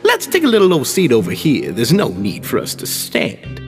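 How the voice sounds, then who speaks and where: An actor playing an earnest, courteous club founder in a video game, who speaks in a deferential, upbeat and slightly flowery way. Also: male voice, mid-range voice, wildly swooping pitch, normal speed, faint music behind. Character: earnest middle-aged male club founder, courteous and idealistic.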